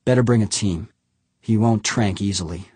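A man's voice: on the low side, normal speed, a little mellow, slightly rough, no echo. A man voicing a shaken male executive who gives terse, clipped orders. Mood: grim.

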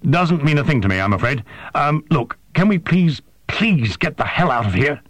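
Man doing a nervous, halting frightened man. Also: a little high, big pitch swings, fairly bright tone, no echo, pitched above the performer's own voice. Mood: scared. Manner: hesitant, halting.

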